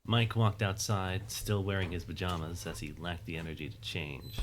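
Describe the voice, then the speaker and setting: A man reading scripted story narration for an audio drama in a measured, wry, literary manner. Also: deep voice, steady tone, background noise.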